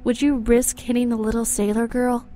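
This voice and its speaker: A woman's voice: on the high side, level tone, normal speed, warm tone, natural voice. An actress playing a cruel, seductive vampire ex-wife in an audio drama, mocking and theatrical, with music behind her. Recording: music bed under the voice.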